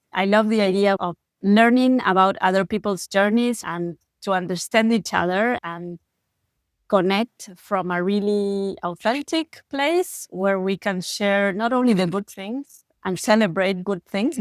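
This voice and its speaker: A woman's voice: medium pitch, dry recording, clean studio sound. A middle-aged woman with an Argentine accent, a native Spanish speaker, talking reflectively about her own experience as a podcast co-host. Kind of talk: personal reflective talk.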